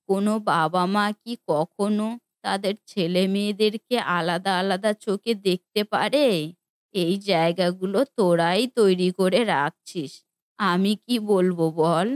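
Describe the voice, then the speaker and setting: A woman's voice: lowish voice, measured pace, no echo, natural voice. Lady acting as cartoon old mom scolding her grown kids, indignant, close dry sound. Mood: scolding, reproachful. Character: stern, reproachful elderly mother of grown children. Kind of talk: Bengali cartoon family drama acting.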